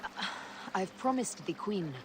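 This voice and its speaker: An actress playing a resolute, grieving Egyptian wife and mother, solemn and measured, in a dramatic video game. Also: mid-range voice, expressive, background noise, close to an everyday voice.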